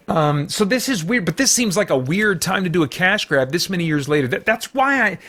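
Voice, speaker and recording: Higher-voiced American man, rambling casual review. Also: fairly bright tone. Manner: halting, rambling, thinking aloud. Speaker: review show host. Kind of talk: casual unscripted film review.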